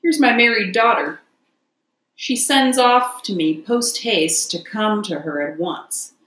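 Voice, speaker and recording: A woman's voice: medium pitch, takes it slowly, a bit bright, roomy sound. A woman voicing a boastful male toad who poses as a humble washerwoman, chatty, wheedling and self-important, for a storybook. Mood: plaintive, ingratiating.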